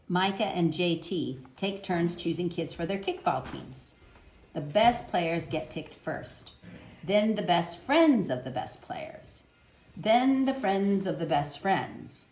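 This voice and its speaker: A woman's voice: lowish voice, darkish tone, slight background noise. Echoey woman reading a children's storybook aloud. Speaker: children's book reader. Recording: roomy, echoing sound.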